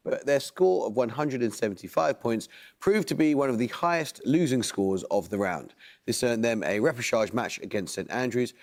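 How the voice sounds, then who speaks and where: Guy hosting a quiz show, reads questions slow and precise, tv studio. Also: mid-range voice, gravelly, a little mellow. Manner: measured, precise question reading.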